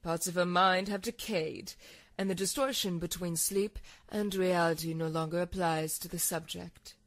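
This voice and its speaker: A woman playing a cool, blunt scientist in a horror audio drama, measured and dry. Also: on the low side, fairly even intonation, lightly acted. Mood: clinical, grave. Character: cool, clinical female scientist, sardonic and blunt.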